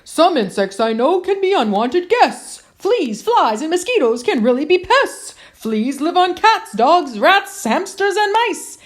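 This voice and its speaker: A woman's voice: very high voice, very animated, measured pace, hardly any pauses. A woman reading a children's picture book aloud in a theatrical, emphatic, playful way.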